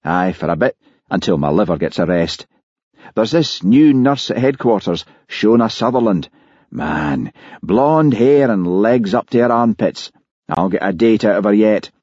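A man's voice: lowish voice, expressive, unhurried, a little mellow, breathy edge, no echo, dull audio. A man voicing a cocky, moody police colleague with blunt, slangy talk in an audiobook. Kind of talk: audiobook character acting.

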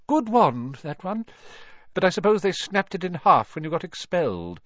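A man voicing an eccentric, intense old wandmaker in an audiobook, soft-voiced and musing, savouring each detail. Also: mid-range voice, wildly swooping pitch, measured pace, no room sound, muffled recording. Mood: delighted, recalling.

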